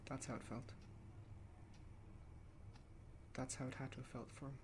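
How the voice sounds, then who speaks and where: Irish actor as bereaved young dad, male, monotone and dejected, fixated, radio drama. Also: mid-range voice, very fast, background noise, natural voice. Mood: numb, sorrowful. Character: grieving young father, withdrawn, numb and fixated. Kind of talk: radio play acting.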